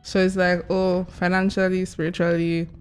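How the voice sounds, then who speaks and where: A woman in her twenties chatting casually with friends in a relaxed, musing way. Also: on the low side, moderate pace, soft background music. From Nigeria. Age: 20s. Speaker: vlogger. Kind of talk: casual chat among friends.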